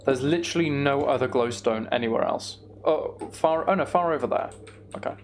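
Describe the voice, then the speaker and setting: A man's voice: medium pitch, fairly quick, slight background noise. A British man giving casual video game commentary, thinking aloud as he plays. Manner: thinking aloud, mild swearing. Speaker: gamer narrating own play.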